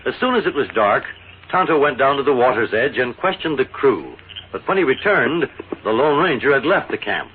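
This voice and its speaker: A man's voice: mid-range voice, sing-song, moderate pace, slight background noise. A man narrating a 1940s radio western in scripted announcer style. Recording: tinny 1940s radio recording. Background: music.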